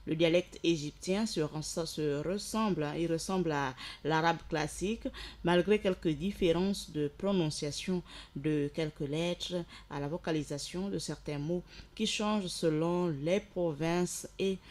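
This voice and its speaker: A woman hosting a radio show, explaining facts from her notes and sending loud greetings to listeners. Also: low voice, a little slow. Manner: explanatory, rises in energy for shout-outs. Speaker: radio host.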